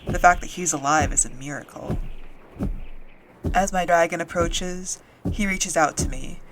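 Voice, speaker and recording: A woman playing a wry dragon-riding soldier, narrating a fantasy audio drama in the first person in a measured storytelling way. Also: on the low side, background noise, lightly acted. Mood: dread.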